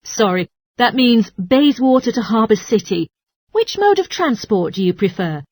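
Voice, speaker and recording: A woman's voice: a little high, big pitch swings, moderate pace, darkish tone, no echo. A woman playing a courteous, helpful transport information clerk in a scripted language-test dialogue.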